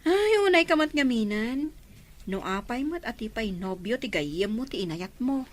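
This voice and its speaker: Actress as high, animated, scolding big sister. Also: female voice, normal speed. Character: nosy, scolding older sister figure, blunt and teasing. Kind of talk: Ilocano radio-style drama acting.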